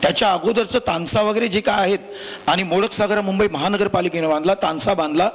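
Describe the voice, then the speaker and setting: A high male voice with a Maharashtrian accent addresses a panel formally. He talks in long, repetitive sentences, like a politician setting out a record, and presses on numbers. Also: fast talker. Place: live public panel event.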